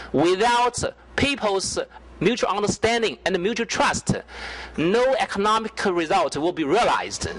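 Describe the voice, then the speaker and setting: Halting man. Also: high voice, fairly bright tone, low-quality recording. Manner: careful, halting, frequent hesitations. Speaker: panelist. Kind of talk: public forum remarks.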